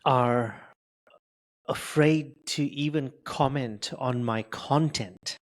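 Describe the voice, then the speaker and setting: A man who stutters speaks slowly and deliberately, his words sometimes catching on repeated sounds. He talks openly about it as an interview guest, often with a touch of humor. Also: mid-range voice. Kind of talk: conversational interview.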